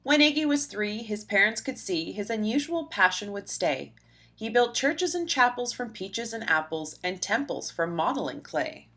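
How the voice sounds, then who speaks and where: A woman narrating a rhyming children's storybook aloud in an expressive, rhythmic, dramatic way. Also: medium pitch, normal speed. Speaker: storybook reader.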